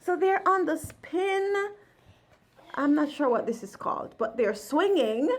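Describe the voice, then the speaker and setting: Woman, mother at story time, very animated pitch, slow pace, casual read-along, sing-song delivery. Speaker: mother reading a picture book with a child.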